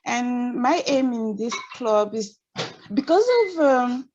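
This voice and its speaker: A woman's voice: on the high side, animated, slow, darkish tone. A woman with a French accent, a native French speaker and a teacher, introducing herself hesitantly and searching for her words. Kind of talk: self-introduction at a club meeting. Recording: dull, lo-fi video call audio.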